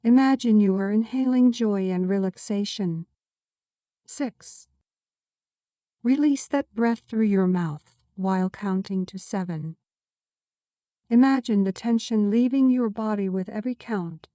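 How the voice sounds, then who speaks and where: A woman reading a scripted guided meditation as a guide, giving soothing, measured instructions. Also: mid-range voice, dark tone, dry recording, studio quality.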